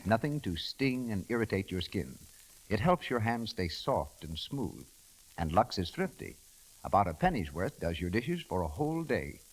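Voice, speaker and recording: A man's voice: lowish voice, very animated, normal speed, breathy edge, rough recording. A man reading scripted 1930s radio commercials as a polished, persuasive announcer.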